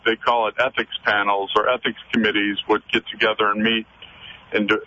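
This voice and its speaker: Man, caller on a phone line from a moving car, low pitch, flat delivery, muffled sound, faint road hiss. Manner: earnest, hesitant, many fillers. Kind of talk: call-in radio conversation. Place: in a car, driving.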